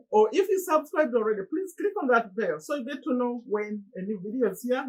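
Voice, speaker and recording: A middle-aged woman from Uganda with a Ugandan accent, talking earnestly to her viewers in a motivational monologue, often pleading and with sudden high exclamations. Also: breathy edge, low-quality recording. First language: Luganda. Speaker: motivational YouTuber.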